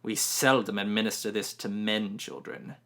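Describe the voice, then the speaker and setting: A man voicing an ancient, stern woman who tests a boy with slow, commanding menace. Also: on the low side, steady tone, vocal fry, pushed and bright.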